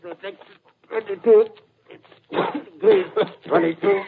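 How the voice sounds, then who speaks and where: An actor playing a struggling army artilleryman under attack in a 1930s radio drama, calling out in strained, halting bursts. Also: high voice, fairly even intonation, creaky, exaggerated voice. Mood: strained, fearful. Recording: tinny, noisy old radio recording.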